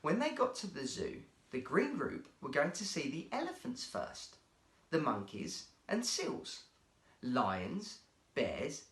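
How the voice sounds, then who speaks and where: A man reading a children's story aloud as an expressive storyteller. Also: mid-range voice, echoey room. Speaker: guest storyteller, actor.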